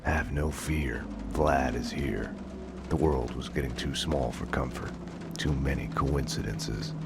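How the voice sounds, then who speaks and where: A man voicing a world-weary hardboiled police detective in a video game, deadpan and sardonic, in noir style. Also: extremely low pitch, measured pace, creaky, heavy background noise, exaggerated voice. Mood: brooding. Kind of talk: noir voice-over narration and terse dialogue. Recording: music under the voice.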